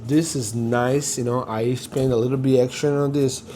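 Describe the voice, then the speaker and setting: A man's voice: medium pitch, steady tone, normal speed, crowd noise. A man, chatting casually to a phone camera as an entrepreneur vlogger, rambling and upbeat with lots of fillers and restarts. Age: 30s. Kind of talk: casual vlog talk to camera.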